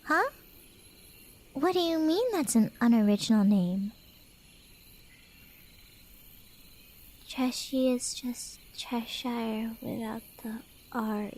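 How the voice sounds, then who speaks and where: Woman acting as a high-voiced, teasing cat girl. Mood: miffed, teasing.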